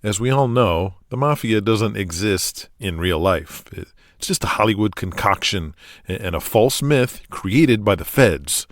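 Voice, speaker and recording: A man's voice: lowish voice, animated, a little slow, no echo, studio quality. A man hosting an audio drama podcast, reading a scripted introduction with tongue-in-cheek asides.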